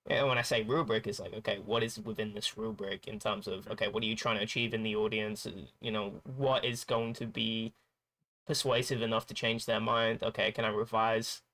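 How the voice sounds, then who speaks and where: A man's voice: on the low side, bright, forceful tone, rough recording. A man chatting casually on a stream, rambling and restarting his sentences as he searches for words. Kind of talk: casual chat while gaming.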